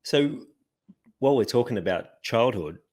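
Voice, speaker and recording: A man's voice: mid-range voice, animated, normal speed, a little mellow. A man hosting a podcast who asks questions and often cuts in with short words of agreement. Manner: affirming, frequent agreement. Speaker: podcast host. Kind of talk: casual interview.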